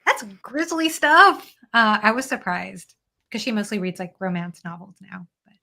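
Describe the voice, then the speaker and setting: Female, medium pitch, crisp bright tone, expressive and chatty, casual book talk. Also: normal speed. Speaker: book discussion participant. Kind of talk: casual chat about books.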